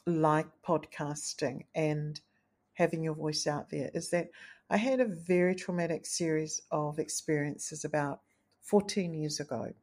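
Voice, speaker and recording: A woman hosting a solo podcast, speaking in an earnest, gentle, thoughtful way with a low, tenor-like voice. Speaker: podcast host. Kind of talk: reflective solo podcast talk.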